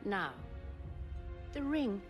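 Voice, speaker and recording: An actress playing a cold, haughty, contemptuous villainess with a sneering manner in a video game cutscene. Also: female voice, medium pitch, lively intonation, drawn-out words, over music. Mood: mocking.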